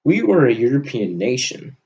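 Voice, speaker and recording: A man giving a rambling, unscripted monologue for an audio log, halting often and letting his sentences trail off. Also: medium pitch, sing-song, a little mellow, lo-fi sound. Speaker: vlogger recording an audio log.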